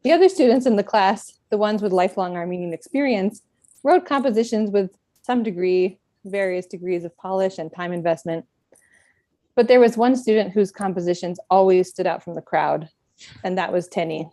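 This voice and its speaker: Reflective woman with a mellow voice. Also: mid-range voice, dry recording, clean studio sound. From United States. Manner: reflective, measured, with gentle self-deprecation. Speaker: speaker at a book event. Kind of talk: reading a prepared personal talk.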